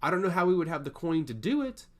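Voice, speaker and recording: A man's voice: fairly high pitch, big pitch swings, quick pace, voice pushed up. Man voicing a thoughtful, composed fantasy princess. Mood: reflective. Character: thoughtful, composed young princess, calculating and sincere. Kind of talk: fantasy audiobook character.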